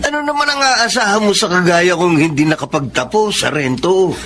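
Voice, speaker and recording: An actor playing a lazy, cheeky thirty-year-old troublemaker in a radio drama, flippant and full of whining excuses. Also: male voice, sits high, moderate pace, fairly bright tone, a little hiss or hum. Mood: cheeky, complaining. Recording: music bed under the voice.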